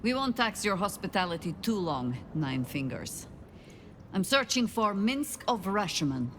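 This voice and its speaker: A composed old warrior in a game. She is dry, formal and purposeful at medium pitch, over faint rumbling noise. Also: big pitch swings, measured pace. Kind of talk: video game dramatic acting.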